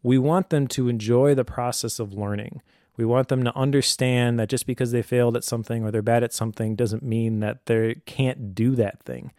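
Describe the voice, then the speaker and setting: A man's voice: medium pitch, steady tone, normal speed, dark tone, no room sound, studio quality. A middle-aged man speaking casually and thoughtfully, explaining advice as a parent. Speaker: homeschooling parent giving advice.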